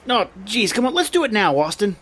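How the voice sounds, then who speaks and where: A male actor plays an eager, inquisitive sidekick in an old-time radio drama. His voice is high for a man and very animated, with a folksy, blunt way of talking. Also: fairly quick, slightly rough, full character voice. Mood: excited. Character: eager, naive, excitable male treasure-curious sidekick.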